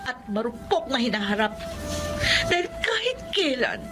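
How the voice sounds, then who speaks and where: A woman's voice: fairly high pitch, sing-song, moderate pace, over music. A young woman acting a bitter, ambitious daughter fed up with poverty in a Filipino radio drama, scornful and emotional.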